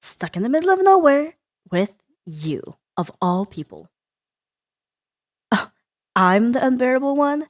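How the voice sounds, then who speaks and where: A woman playing a sharp-tongued young female rival in an ASMR roleplay, huffy and sarcastic but grudgingly caring. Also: high-pitched, big pitch swings, no echo, clean studio sound. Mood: sarcastic, irritated. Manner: sarcastic, huffy, theatrical sighs and drawn-out jabs. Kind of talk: ASMR roleplay audio drama addressed to the listener.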